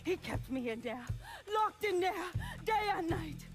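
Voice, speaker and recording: Enraged young captive, crying, extremely high, drawn-out ragged delivery, game cutscene acting, music underneath. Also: female voice, big pitch swings, full character voice. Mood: anguished fury. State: crying, distraught.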